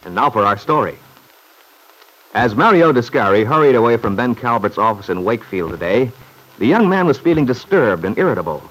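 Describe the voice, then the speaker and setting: A man's voice: medium pitch, very animated, fairly quick, a bit bright, rough recording. A man narrating a serial story as an announcer in a scripted, vintage radio style.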